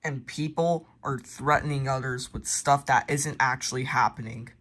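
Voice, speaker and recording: A man reads amateur mock emergency announcements in a halting, informal way, correcting himself and slipping into casual asides. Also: medium pitch, steady tone, very noisy. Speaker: narrator of a homemade emergency alert scenario.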